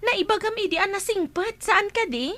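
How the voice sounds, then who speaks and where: Cheeky young bestie, really high bright voice, swoopy, radio soap. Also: female voice, lively intonation, moderate pace, slight background noise. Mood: playful, teasing. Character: teasing, mischievous young woman, the heroine's best friend. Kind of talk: Filipino radio drama acting.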